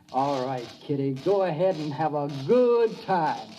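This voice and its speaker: A man's voice: on the high side, animated, slow, mellow. A man playing a folksy, eager tinkering machinist with an American accent in a 1950s radio drama. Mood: proud, eager. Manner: folksy, colloquial. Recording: dull, band-limited old radio recording.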